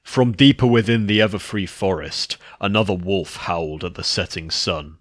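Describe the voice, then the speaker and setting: A man's voice: low voice, normal speed, dry recording. A man reading scripted story narration as the narrator, recorded on a close mic.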